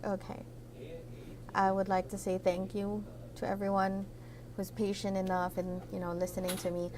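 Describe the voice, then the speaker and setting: A woman's voice: mid-range voice, monotone, moderate pace, a little mellow, slight background noise. A woman with a Filipino accent hosting a live Q&A, explaining a topic in a mix of English and Tagalog with lots of fillers and checking "right?". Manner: code-switches between English and Tagalog, many fillers and rhetorical "right?". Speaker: immigration consultant hosting a live Q&A. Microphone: computer setup at a desk.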